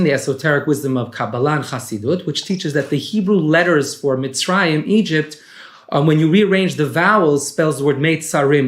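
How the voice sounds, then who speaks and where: A male rabbi speaks in a higher register than most men. He lays out teachings in a measured, step-by-step way. His voice rings a little in an echoey room. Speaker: rabbi and author. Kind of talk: explanatory talk on teachings.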